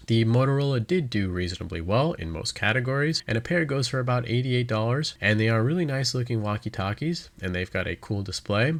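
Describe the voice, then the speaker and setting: A man with a fairly low voice delivers scripted review narration at a quick clip. He explains things methodically, point by point, like a channel host walking viewers through a comparison.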